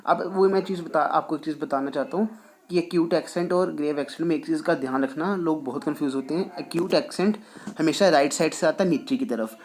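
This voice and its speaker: A man teaching a French lesson, patiently repeating each point and reading rules slowly. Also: on the high side, a little mellow. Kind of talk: explaining a language lesson, mixing in English.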